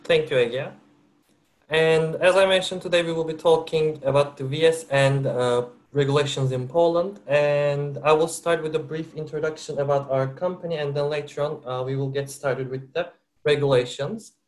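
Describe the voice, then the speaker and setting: Male webinar host, fairly high voice, measured and hesitant delivery. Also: fairly even intonation. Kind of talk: corporate webinar presentation. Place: works for a company based in Turkey.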